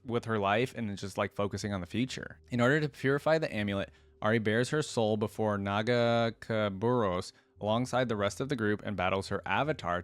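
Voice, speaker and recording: Fast-talking man, casual reaction host. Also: lowish voice, no echo. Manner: casual, interjects brief reactions while reading. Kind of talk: reading aloud with casual off-the-cuff commentary.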